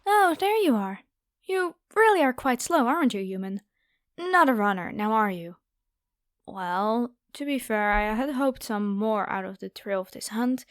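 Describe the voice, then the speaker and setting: A woman's voice: fairly high pitch, lively intonation, unhurried, no echo, studio quality. A woman playing a haughty, predatory noble vampire girl in an ASMR roleplay, with a taunting, playful, theatrical delivery. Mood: mocking, domineering.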